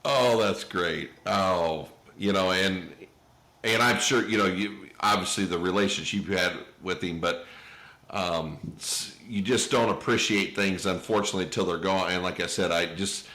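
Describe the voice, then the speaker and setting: A middle-aged American man from Indiana hosting a podcast in casual chat, with halting, repetitive phrasing. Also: deep voice, bright, forceful tone. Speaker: podcast host, interviewer. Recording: roomy, echoey pickup.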